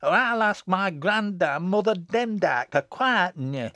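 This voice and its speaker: A man voicing a spiteful, peevish little girl with a sharp, taunting tongue and a Lancashire accent, as an audiobook character. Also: sits high, wildly swooping pitch, a little slow, slightly rough, dry recording, studio quality, voice pushed up, exaggerated voice. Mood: sharp, threatening.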